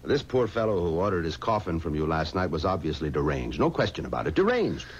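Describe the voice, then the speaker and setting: An actor playing a confident, paternal middle-aged funeral home boss, assured and pompous, in a 1970s radio drama. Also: male voice, low voice, big pitch swings, brisk. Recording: dull, faintly noisy 1970s radio recording.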